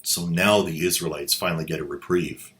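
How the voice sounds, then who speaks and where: A man's voice: deep voice, steady tone, fairly quick, a bit bright. A man hosting a comedic commentary with a sarcastic, mocking manner. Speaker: host.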